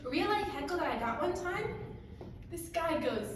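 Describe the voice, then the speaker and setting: Young woman from Ukraine, stand-up comic; high, lively voice, unhurried deadpan, echoey club mic heard from far off. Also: a little hiss or hum. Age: young adult. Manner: deadpan, wry delivery with pauses for laughs. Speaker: stand-up comedian. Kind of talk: stand-up comedy set. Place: comedy club stage. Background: audience laughter.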